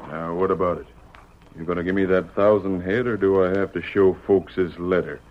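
An actor playing a crooked, blustering cattle rustler with a drawling American accent in an old-time radio western. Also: male voice, low-pitched, fairly even intonation, brisk, natural voice. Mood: smug menace. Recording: dull, muffled vintage radio recording.

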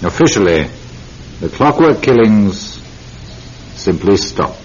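An actor playing a stern, commanding senior police officer with a clipped, formal manner in a radio drama. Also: male voice, fairly low pitch, very animated, slow pace. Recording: muffled, noisy radio recording.

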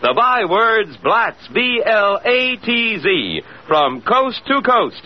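A man's voice: sits high, big pitch swings, slow pace. An American man announcing a 1950s radio commercial with an emphatic, polished sales delivery. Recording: muffled, faintly noisy old radio broadcast.

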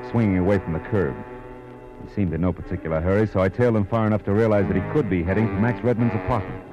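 Male private detective, played by an actor, low-pitched, cool and commanding, terse noir delivery, dull vintage radio sound. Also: natural voice. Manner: clipped, tough, world-weary. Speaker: lead actor and narrator. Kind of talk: 1940s radio noir acting and narration. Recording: muffled old radio recording with music.

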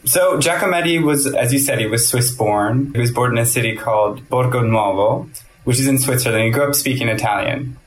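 A young man, an assistant editor, giving a lively spoken primer on a sculptor in a podcast conversation. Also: mid-range voice, fairly quick, poor audio. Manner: lively, explanatory, with fillers. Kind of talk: explanatory conversation about art.

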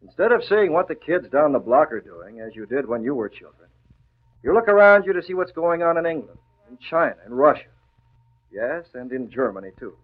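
A man's voice: fairly high pitch, sing-song, fairly quick. Earnest man giving tinny 1940s radio address. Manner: earnest, oratorical. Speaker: host of a civic message to young listeners. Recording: tinny, narrow-band old radio recording.

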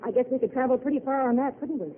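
Dreamy young waitress, woman acting her out, high light voice, thin tinny old radio sound. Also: racing, a little hiss or hum, lightly acted.

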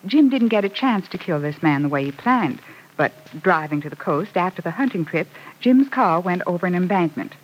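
An actress playing a frightened, guilt-stricken wife in a 1940s radio soap opera, speaking in an earnest, dramatic way. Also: female voice, on the low side, lively intonation, moderate pace, a little hiss or hum, lightly acted, low-quality recording. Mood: bitter, earnest. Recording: old radio broadcast recording.

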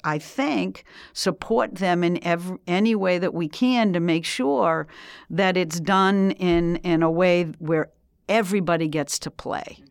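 Boston lady in her 60s, lowish voice, dry mic, gets really animated and emphatic. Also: no room sound. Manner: emphatic, animated, laughs often. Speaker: interview guest. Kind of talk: energetic conversational interview.

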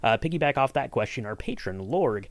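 A man's voice: mid-range voice, expressive, a little fast, no room sound. A man hosting a casual behind-the-scenes interview, chatty and explanatory, often checking in with the listener. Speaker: podcast host interviewing a writer.

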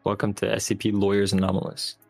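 A man's voice: on the low side, flat delivery, fast talker, gravelly, a little mellow, soft background music. A man bantering casually and jokingly on a live stream.

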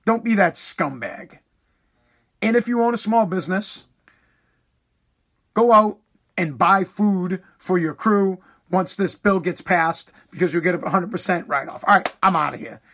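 A man's voice: high-pitched, a little slow, sharp tone. A man hosting his own podcast, ranting bluntly and heatedly with swearing while he is losing his voice. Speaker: podcast host.

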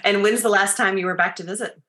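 Bright-voiced enthusiastic woman interviewer. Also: medium pitch, steady tone, fast talker. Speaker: editor and interviewer. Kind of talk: interview conversation.

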